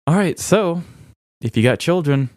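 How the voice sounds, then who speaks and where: A man's voice: on the high side, expressive, a little slow, darkish tone, no room sound. Dry-sounding American man chatting casually. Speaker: podcast co-host. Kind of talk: casual comedic true-crime chat.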